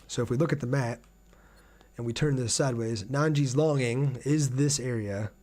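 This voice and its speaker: A man's voice: mid-range voice, normal speed, darkish tone. A man with an American accent talks casually and conversationally to viewers while livestreaming a video game. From Ohio, United States. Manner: conversational, addresses viewers directly. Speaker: live streamer playing a video game. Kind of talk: casual gameplay livestream chat.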